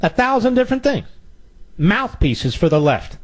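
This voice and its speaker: A man's voice: sits high, big pitch swings, normal speed. A male radio talk show host delivering a combative, sarcastic political monologue and taking calls.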